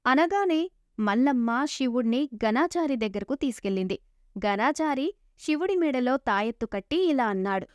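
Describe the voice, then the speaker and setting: A woman's voice: high-pitched, expressive, moderate pace, darkish tone, no echo. A woman narrating a scripted moral story as a storyteller. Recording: dull lo-fi sound.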